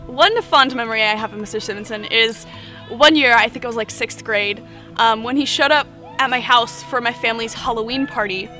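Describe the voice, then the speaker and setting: A woman's voice: a little high, fairly quick, music in the background. A teenage student recalling her former band teacher in a chatty, giggly interview. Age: teens. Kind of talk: casual interview.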